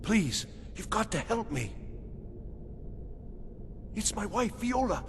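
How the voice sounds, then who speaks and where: A desperate farmer from a fantasy video game. He pleads urgently and fearfully, his pitch rising and falling widely. His voice rings in a long hall reverb with a little noise underneath. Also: sing-song, takes it slowly, full character voice. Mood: urgent, pleading. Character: desperate, frightened farmer searching for his kidnapped wife.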